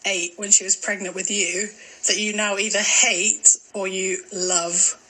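Young woman, mild Glasgow accent, casual podcast chat, bright tone, slightly husky, giggly. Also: mid-range voice, level tone, a little slow, rough recording. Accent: mild, mixed Glasgow accent. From Scotland. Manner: chatty, giggly, trailing off with fillers. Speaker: podcast co-host.

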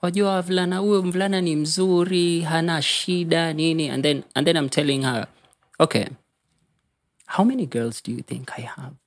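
A man's voice: on the high side, steady tone, normal speed. A man with a Kenyan accent, a podcast guest telling personal stories in a casual, confessional way and slipping between English and Swahili.

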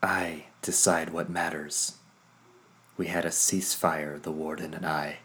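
Man doing a cold haughty spirit woman, clipped, quiet, low voice, storybook. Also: level tone, unhurried.

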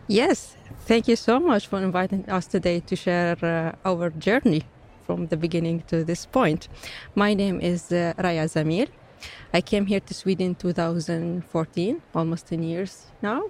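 A woman's voice: lowish voice, a little slow, a little mellow. Earnest woman engineer from Palestine. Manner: earnest, personal. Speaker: guest engineer. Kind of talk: interview answers. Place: live event stage.

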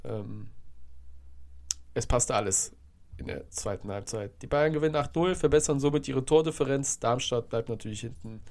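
Man with a stuffy cold, gravelly. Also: mid-range voice, darkish tone. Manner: rambling, self-correcting football recap. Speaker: podcast host. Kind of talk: casual solo podcast.

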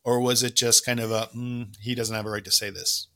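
A man's voice: medium pitch, level tone, brisk, sharp tone. A man hosting a casual interview, asking loose questions and encouraging his guest. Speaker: podcast host.

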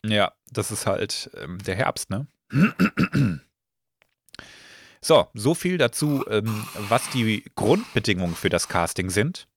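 A slightly hoarse man hosting a casual podcast, relaxed and full of filler sounds. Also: lowish voice, takes it slowly, dry recording. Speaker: podcast host.

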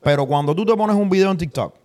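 A man's voice: high-pitched, expressive, quick pace, darkish tone. A man hosting a podcast, chatting and joking casually with his guests. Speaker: podcast host.

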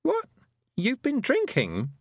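A man's voice: very high voice, huge pitch swings, slow pace, no room sound, studio quality, voice pushed up, exaggerated voice. A man voicing a sensible young girl in an audiobook, questioning with exasperated disbelief. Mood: incredulous. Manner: incredulous questioning. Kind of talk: audiobook character voice.

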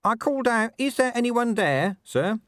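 A man's voice: extremely high pitch, expressive, moderate pace, a bit bright, no echo, clean studio sound, voice pushed up. A man voicing a nervous, cautious young constable who answers in an anxious, stammering, overly dutiful way. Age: young adult.

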